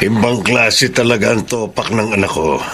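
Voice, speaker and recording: A man's voice: lowish voice, measured pace, crowd noise. An actor playing a concerned, conciliatory older father-in-law in a Filipino radio drama. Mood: concerned, admonishing.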